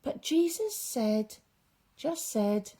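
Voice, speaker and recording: A woman reading a storybook aloud for young children, with dramatic emphasis and drawn-out repeated words. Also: high voice, very animated, mellow.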